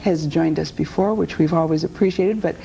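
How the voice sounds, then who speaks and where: A woman's voice: deep voice, a little fast, vocal fry, dark tone, dull audio, a little hiss or hum. A woman hosting a television talk show, gracious and upbeat as she introduces guests and asks questions.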